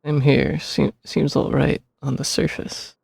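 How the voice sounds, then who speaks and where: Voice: medium pitch, flat delivery, unhurried, gravelly, a little mellow. A streamer giving casual, off-the-cuff reaction commentary while playing a game, with hesitant asides. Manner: laughs often, hesitant asides.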